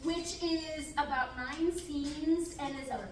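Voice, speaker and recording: Chatty organizer, woman, very high voice, roomy distant sound. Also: slow pace, a little hiss or hum. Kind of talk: introducing a table reading. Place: large classroom. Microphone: far camera. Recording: echoey room from far off.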